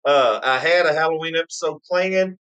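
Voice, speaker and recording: Man, slightly high pitch, eager rambling host, casual banter. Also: moderate pace, a bit bright, clean tone, dull audio. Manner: enthusiastic, rambling storyteller. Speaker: host of a bourbon tasting stream. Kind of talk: casual live-stream banter.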